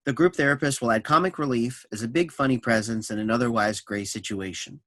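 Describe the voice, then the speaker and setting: A man's voice: mid-range voice, fairly quick, fairly bright tone, dry recording, studio quality. A man reading scripted stage directions and scene summaries for a staged play reading.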